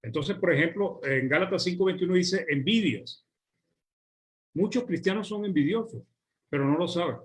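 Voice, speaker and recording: Male Venezuelan preacher, somewhat high voice, slow emphatic sermon delivery. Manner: measured, list-like preaching with drawn-out words.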